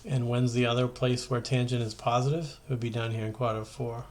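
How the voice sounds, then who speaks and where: A man's voice: medium pitch, little pitch movement, fairly quick, a little mellow. A man giving an informal math lesson as a teacher, talking conversationally and thinking aloud as he works through steps. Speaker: high school math teacher.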